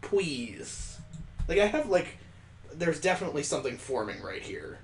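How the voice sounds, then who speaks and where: A man's voice: on the high side, sing-song, moderate pace, vocal fry, echoey room, a little hiss or hum. A man hosting a gaming let's play, reading a visual novel aloud and breaking in with sarcastic, joking asides. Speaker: gaming video host.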